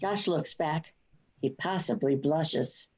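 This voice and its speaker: A woman's voice: deep voice, slow, darkish tone. A woman reading scripted stage directions as the narrator of a play reading. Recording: dull, lo-fi online recording.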